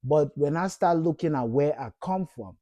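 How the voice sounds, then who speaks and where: A man's voice: fairly high pitch, animated, moderate pace, warm tone, no room sound. A man in his thirties from Cameroon, giving an earnest, encouraging motivational talk to camera and repeating points for emphasis. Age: 30s. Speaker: vlogger.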